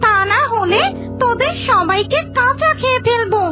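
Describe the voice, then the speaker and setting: A woman's voice: very high-pitched, moderate pace, pushed and bright, faint music behind. A woman voicing a bossy, greedy female ghost in a cartoon, scolding and threatening. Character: bossy greedy female petni ghost, avenging and always hungry. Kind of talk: Bengali cartoon voice acting.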